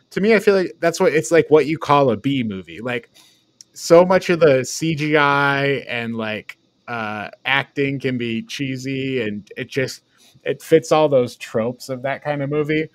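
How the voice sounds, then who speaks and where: A man chats on a review podcast in a mid-pitched voice, recorded very dry. He speaks informally and loosely, as one panelist among others. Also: lively intonation, a little slow, dry recording. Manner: informal, prone to chuckling and swearing. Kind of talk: casual discussion.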